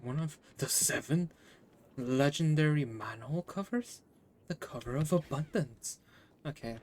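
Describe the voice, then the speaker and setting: A woman's voice: deep voice, unhurried, darkish tone. A woman streamer giving casual, offhand and playful commentary while she plays a game.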